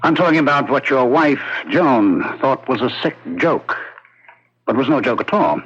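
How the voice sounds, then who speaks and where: A man's voice: mid-range voice, lively intonation, moderate pace, a bit bright, slightly rough, highs cut off. A man playing a mocking, menacing condemned prisoner in a 1970s radio drama, needling and insistent. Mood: taunting, insistent. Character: menacing, mocking male condemned prisoner claiming to be a killer's spirit. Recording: noisy, band-limited old radio recording.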